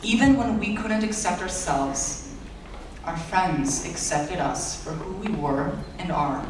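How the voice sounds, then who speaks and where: A late-teen young man whose first language is Bulgarian delivers his valedictorian speech, earnest and self-deprecating with playful asides. His voice booms around a big reverberant hall, picked up from far back by an audience camera, with a faint hum underneath. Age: late teens. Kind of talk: graduation speech. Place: large school auditorium. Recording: echoey hall recorded from far off.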